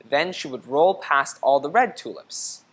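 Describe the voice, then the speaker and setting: Low-voiced woman reading a children's story. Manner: teacherly, expressive, pausing to ask questions. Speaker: storybook reader. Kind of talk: children's read-aloud with questions to listeners.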